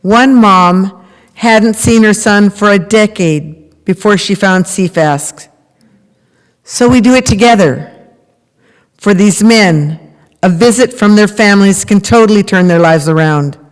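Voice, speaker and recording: A woman's voice: mid-range voice, measured pace, lightly acted. A middle-aged woman playing a prisoner's earnest mother, reading a monologue from a script in readers theater. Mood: earnest, resolute. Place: large temple hall, at a lectern. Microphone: microphone on a stand at lectern. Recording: reverberant hall recording.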